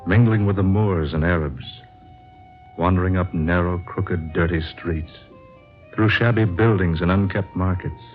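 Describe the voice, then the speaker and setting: Actor as deep-voiced solemn traveler, old radio. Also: male voice, natural voice. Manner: solemn, deliberate, literary recital. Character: earnest, searching male traveler narrating his world-wandering diary. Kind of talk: 1940s radio acting, scripted narration. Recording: dull vintage radio recording with music. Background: music.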